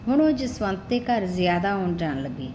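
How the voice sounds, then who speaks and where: A woman's voice: medium pitch, sing-song, normal speed. A woman narrating a scripted story as an audiobook-style storyteller. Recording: clearly noisy recording with background ambience.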